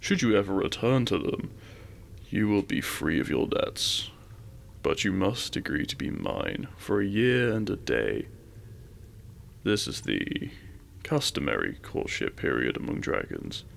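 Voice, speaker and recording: A man playing an imperious, possessive dragon king in a romantic fantasy audio roleplay, speaking with formal, commanding courtesy and a gravelly voice. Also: low voice, a little hiss or hum, full character voice. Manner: formal, commanding, deliberate menace with seductive courtesy.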